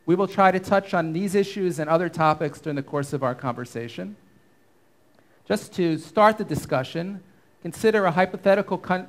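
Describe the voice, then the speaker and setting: A man moderating a conference panel, giving measured, formal prepared remarks on a stage with a handheld microphone. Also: fairly high pitch. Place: conference stage before an audience.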